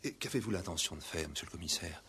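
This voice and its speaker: An actor playing a deferential, flustered police deputy who stammers and hurries to agree, in a 1960s French radio play. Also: male voice, sits low, lively intonation, rapid-fire, creaky, bright, forceful tone, slightly rough, full character voice. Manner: hesitant, stammering, eager to agree.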